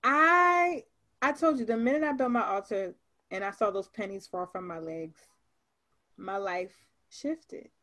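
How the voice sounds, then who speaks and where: A young woman. She tells her story with lively, animated energy and says "like" often, in a voice of middle pitch. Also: a little slow. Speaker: podcast guest. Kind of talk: casual chat.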